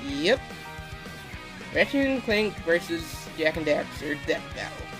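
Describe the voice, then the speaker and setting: A man's voice: on the high side, lively intonation, measured pace. A man losing his voice, hosting a casual reaction video and chatting through explanations. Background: video audio and music playing.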